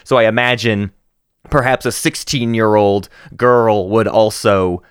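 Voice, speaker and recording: A man in his thirties co-hosting a casual book podcast, talking with animated energy, laughing and often restarting his sentences. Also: on the low side, measured pace, clean tone, no echo, studio quality. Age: 30s. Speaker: podcast co-host.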